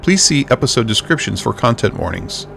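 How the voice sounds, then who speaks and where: A man's voice: medium pitch, animated, brisk, gravelly, a bit bright. A man reading a scripted podcast introduction as the announcer. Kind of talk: scripted narration. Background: music.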